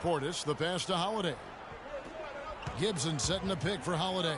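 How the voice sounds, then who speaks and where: A man's voice: fairly high pitch, normal speed, very noisy, close to an everyday voice. A man playing a TV basketball play-by-play announcer in a video game, with a clipped, fluent broadcast delivery. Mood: focused. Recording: game stream with loud music and court sounds. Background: loud music, bouncing basketball.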